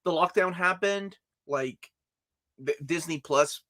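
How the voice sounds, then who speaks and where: A man's voice: on the high side, slow, a bit bright. A young man co-hosting a casual comics livestream, friendly and full of fillers and restarts. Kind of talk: casual chat.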